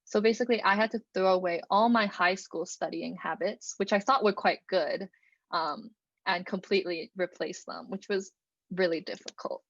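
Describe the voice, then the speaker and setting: A woman's voice: mid-range voice, normal speed, fairly bright tone, no room sound, dull audio. Young woman talking casually on a call. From Canada (Alberta). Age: young adult. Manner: casual, many fillers and "like". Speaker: student presenter. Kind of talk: online presentation talk. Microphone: computer mic on a video call.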